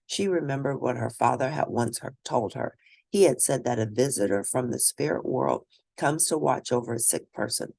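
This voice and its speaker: A woman reading a children's story aloud and discussing it in an expressive, teacherly manner. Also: a bass voice, moderate pace, creaky, slightly rough, no echo. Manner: expressive, teacherly, asks questions of listeners. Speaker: storybook reader.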